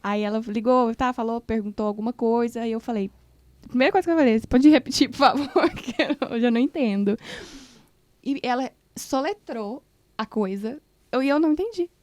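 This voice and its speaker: A woman co-hosting a radio show who tells her stories in an expressive, dramatic way. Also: a little high, a little fast, no echo. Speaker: radio co-host. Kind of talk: casual chat. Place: radio studio.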